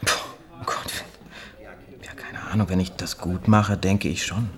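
An actor playing a modest, eager young footballer in a drama, speaking earnestly and a bit haltingly. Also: male voice, low-pitched, steady tone. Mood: earnest, determined. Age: young adult.

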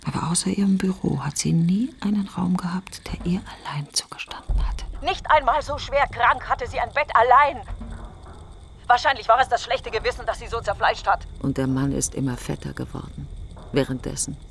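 An actress in a radio play monologue, playing a bitter, grieving woman alone after her best friend's funeral, speaking in halting, clipped, literary fragments that often sink toward a breathy whisper. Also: mid-range voice, animated, fairly bright tone, a little hiss or hum. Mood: bitter, accusing.

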